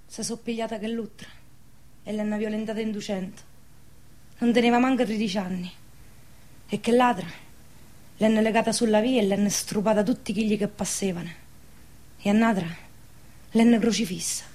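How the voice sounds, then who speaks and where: A haunted young village woman acted by a woman in a radio play, fearful, ashamed and bitter. She speaks plaintively in a fairly high voice with a southern Lazio dialect. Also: normal speed, pauses often, darkish tone, lightly acted. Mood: grim, bitter. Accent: southern Lazio Italian dialect. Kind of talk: radio drama acting.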